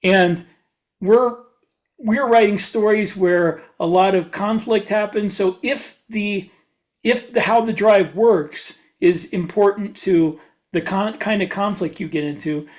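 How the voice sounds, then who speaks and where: A man's voice: high voice, slow. A man co-hosting a podcast, explaining things in a matter-of-fact, casual way during a group discussion. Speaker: podcast co-host.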